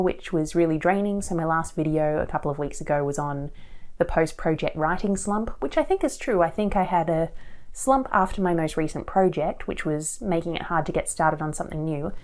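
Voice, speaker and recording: Lowish, mellow-voiced woman, slightly raspy from a cold. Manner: chatty and rambling, with frequent "so" and "um". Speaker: aspiring fiction author and channel host. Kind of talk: casual talking-to-camera vlog. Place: inside a small shipping-container hotel room. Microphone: action camera's built-in mic.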